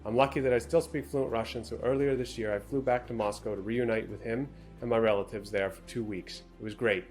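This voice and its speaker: A man's voice: mid-range voice, fairly even intonation, fairly quick, a little mellow, soft background music. A man co-hosting a casual talk show, reading out a viewer's letter and adding dry, matter-of-fact comments between passages. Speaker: co-host.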